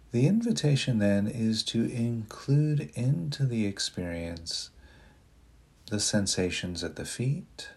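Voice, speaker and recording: Male meditation guide: deep and soft, very unhurried, gentle delivery with long pauses. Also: breathy edge.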